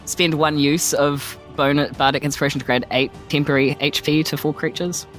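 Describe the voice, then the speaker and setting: A woman's voice: sits low, fairly even intonation, fairly quick. A woman with a New Zealand accent, chatting casually and self-deprecatingly as a tabletop game player. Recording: background music under speech. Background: music.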